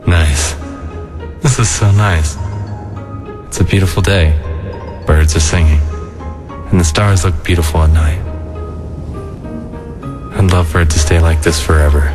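A man in an animated comic dub playing a weary, laid-back adult skeleton, brooding yet kind, with a low-key, reflective drawl. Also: unhurried, pauses often, over music. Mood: wistful.

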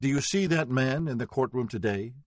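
A man voicing a steady male prosecutor questioning a witness in a measured, businesslike way. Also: mid-range voice, no room sound, pitched above the performer's own voice, close to an everyday voice.

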